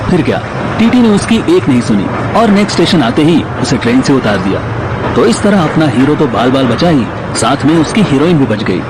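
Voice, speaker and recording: A man's voice: medium pitch, sing-song, brisk, noisy background. A man narrating a scripted audio drama in a dramatic storytelling style. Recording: clear music bed behind the narration.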